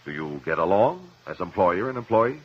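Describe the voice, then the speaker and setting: A man playing a sharp, probing courtroom investigator in 1950s radio drama, formal and insistent. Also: sits low, big pitch swings, normal speed, husky, heavily acted. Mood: firm. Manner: insistent, formal cross-examining. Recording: muffled old radio recording.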